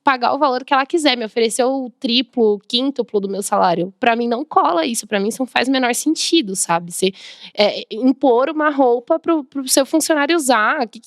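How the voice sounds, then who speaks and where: A woman's voice: on the high side, expressive, brisk, no echo, studio quality. A young Brazilian woman, a podcast guest, speaks emphatically and acts out the people she quotes. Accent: Brazilian Portuguese. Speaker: podcast guest, diversity and inclusion expert. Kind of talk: conversational interview answer.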